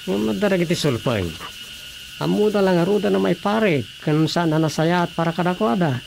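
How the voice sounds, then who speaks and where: An actor with an Ilocano accent playing a village councilor in a radio drama comedy, with a gravelly voice. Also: medium pitch, brisk, a little mellow, close to an everyday voice. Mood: opinionated. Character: village councilor discussing health and bad habits. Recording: noisy radio drama track.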